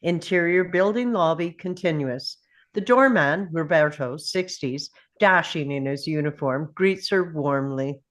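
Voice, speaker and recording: A woman's voice: low-pitched, measured pace. A woman reading scripted stage directions as narrator at a table read.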